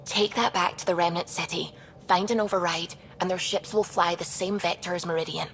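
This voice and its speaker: A clever female scientist in a sci-fi game. Her voice is fairly low and she talks in a brisk, wry, informal way, with music behind her. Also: fairly even intonation, fairly bright tone. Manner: informal, matter-of-fact, wry. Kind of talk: video game cutscene acting.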